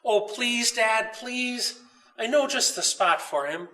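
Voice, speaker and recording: A man's voice: very high voice, animated, slow, bright, forceful tone, recorded from a distance, pitched above the performer's own voice, full character voice. A man voicing a curious, eager young boy in a storybook, earnest and full of questions. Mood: eager, pleading. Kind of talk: storybook character voice.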